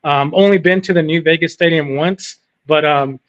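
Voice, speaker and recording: A man's voice: fairly high pitch, moderate pace. A man from California calling in to a sports talk show, rambling casually, with lots of restarts. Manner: rambling, lots of fillers and restarts, says dude. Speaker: caller. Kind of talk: casual chat on a call-in show. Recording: muffled phone-in audio.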